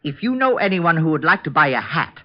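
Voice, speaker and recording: A man's voice: on the high side, big pitch swings, brisk. A man playing a polite, whimsical, eccentric client in 1940s radio drama style. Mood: cheerful, explaining. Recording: dull old radio recording.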